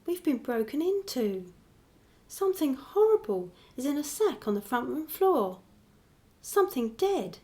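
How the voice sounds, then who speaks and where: Strong-willed wife for radio drama, pointed, insistent, higher pitch, animated delivery. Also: female voice, measured pace, slight background noise. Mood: grim, shaken. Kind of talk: radio play acting.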